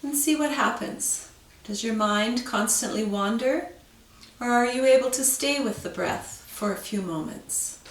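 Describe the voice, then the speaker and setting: A woman teaching yoga, guiding a breathing meditation with slow, calm, soothing instructions. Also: fairly high pitch, echoey room, far from the mic, rough recording.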